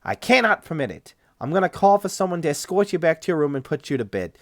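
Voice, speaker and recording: A man's voice: a little high, sing-song, quick pace, no echo, clean studio sound, pitched above the performer's own voice. A man voicing a nervous, indignant hospital director in an audiobook, prim and exasperated in manner. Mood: officious. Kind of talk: audiobook character voice.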